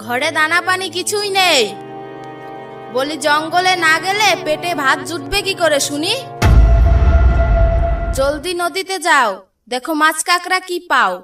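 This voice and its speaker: A woman voicing a sharp-tongued, nagging village wife and mother in a cartoon, scolding and demanding. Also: high voice, a little slow, pauses often. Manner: scolding, demanding, rhetorical questions. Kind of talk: Bengali cartoon voice acting. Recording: distant voice over faint music.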